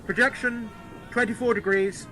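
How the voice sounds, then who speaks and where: A man doing a barking artillery officer, shrill and distant. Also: fairly even intonation, moderate pace, background noise. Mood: commanding. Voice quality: clipped shouted commands.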